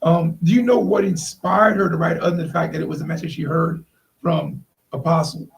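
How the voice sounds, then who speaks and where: A man hosting a casual interview, halting and repeating phrases as he forms his questions. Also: fairly high pitch, steady tone, vocal fry, rough recording. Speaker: interviewer, host.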